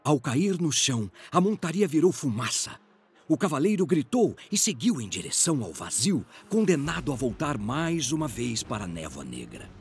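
A man's voice: mid-range voice, sing-song, normal speed, husky, faint music behind. A man with a Brazilian accent delivering solemn, theatrical scripted story narration.

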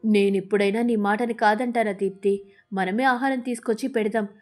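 A woman voicing a cautious, agreeable young male parrot in a mild, obliging way for an animated storybook. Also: mid-range voice, a little fast, soft background music, close to an everyday voice.

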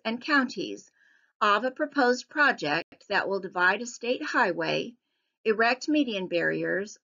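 A woman giving scripted narration for a public hearing slideshow in an even, measured reading. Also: mid-range voice, vocal fry, lo-fi sound.

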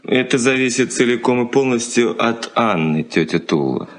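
An actor playing an impatient, curt young fiancé in a Soviet radio play. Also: male voice, medium pitch, lively intonation, normal speed, lightly acted. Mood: dry.

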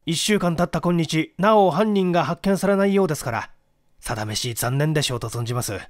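A man's voice: fairly high pitch, animated, quick pace, dry recording, studio quality, close to an everyday voice. A man voicing a polite, eager private detective in an audiobook, deferential, formal and earnest. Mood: deferential, solicitous. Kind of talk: audiobook character dialogue.

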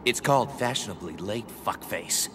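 A man's voice: lowish voice, expressive, moderate pace, natural voice. An actor playing a cocky, foul-mouthed young male assassin in a video game, sarcastic and brash. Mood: mocking, flippant. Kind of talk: video game cutscene acting. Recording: clear background ambience noise.

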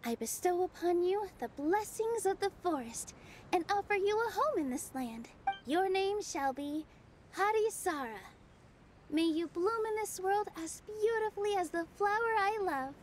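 A woman voicing a gentle, earnest young forest fairy in a video game, tender and sincere. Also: extremely high pitch, expressive, normal speed, slight background noise. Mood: solemn, tender. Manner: tender, sincere, softly solemn. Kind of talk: animated video game acting. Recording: music behind the voice.

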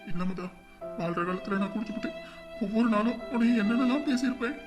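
A woman playing a remorseful husband, low and quick. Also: over music, natural voice. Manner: low subdued pleading. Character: remorseful husband, reformed drunkard. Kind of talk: Tamil family skit acting.

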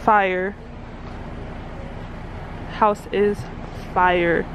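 Casting: American woman, 20s, mid-pitched voice, slangy excited vlogger, recorded on a handheld camera with noise behind. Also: extremely slow. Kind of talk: casual vlog chat, house tour. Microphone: camera held at arm's length.

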